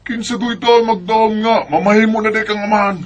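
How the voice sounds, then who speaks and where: High-voiced teasing Bisaya buddy, acted by a man. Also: quick pace, natural voice. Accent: Filipino, Bisaya. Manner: playful, animated, nudging. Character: teasing, jokey male buddy of the hero. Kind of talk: amateur radio drama acting.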